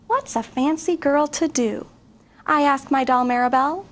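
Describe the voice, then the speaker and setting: A middle-aged woman teacher reading a picture book aloud to children, expressive and asking young listeners questions. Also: high-pitched, a little slow. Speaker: guest reader, teacher.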